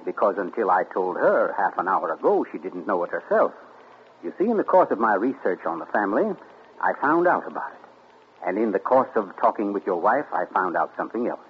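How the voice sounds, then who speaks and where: A gloating radio-play sleuth, 1940s. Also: male voice, on the low side, sing-song, muffled recording. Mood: smug. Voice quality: theatrical, deliberate, relishing. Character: suave, gloating male amateur sleuth. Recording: scratchy 1940s radio broadcast.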